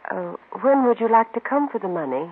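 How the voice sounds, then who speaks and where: An actress playing a gracious, weary widow in a 1950s radio crime drama, subdued, polite and halting. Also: female voice, fairly high pitch, very animated. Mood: subdued, sad. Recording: old narrow-band radio recording, faint noise.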